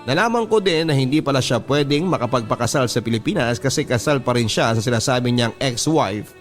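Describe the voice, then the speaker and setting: Male radio drama narrator, Filipino accent, mid-pitched, confessional and sentimental storytelling with music underneath. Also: lively intonation, fairly quick. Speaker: radio drama host reading a listener's letter. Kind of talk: dramatic first-person letter narration. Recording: music bed behind the voice. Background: background music.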